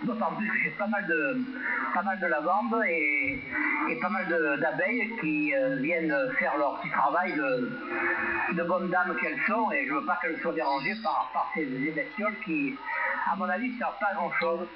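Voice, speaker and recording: Very loud noise covers a man's high voice coming over a muffled radio link. He is a ham operator, formal and polite, wordy and often repeating himself. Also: normal speed. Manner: courteous, formal, wordy, repetitive. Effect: heard over radio. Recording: very noisy, muffled shortwave radio reception.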